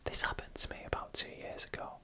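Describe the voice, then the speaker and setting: This is an ASMR narrator telling creepy stories entirely in a whisper. The delivery is hushed and breath-only, with a bright, sharp edge. Phrases are set apart by deliberate pauses. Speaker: narrator reading horror stories.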